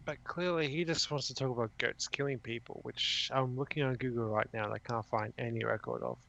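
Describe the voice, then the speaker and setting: A drunk man with a gravelly, creaky voice and a bright, sharp edge, tossing out sarcastic and skeptical remarks. He speaks at a middle pitch in a loose, casual chat. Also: steady tone, brisk, low-quality recording.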